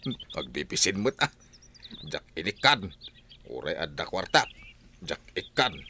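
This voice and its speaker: A man's voice: low voice, big pitch swings, measured pace, sharp tone, a little hiss or hum, full character voice. An actor with an Ilocano Filipino accent playing a grumbling, stingy middle-aged village husband and father in a radio drama, blunt and exclamatory.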